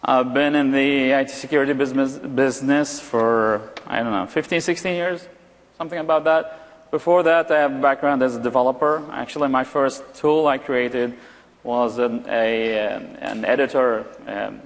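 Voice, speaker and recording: A man whose first language is Arabic, presenting a live security demo at a conference and often pausing with fillers and restarts. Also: medium pitch, steady tone. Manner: hesitant, many fillers and restarts. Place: large conference hall. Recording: long hall reverb.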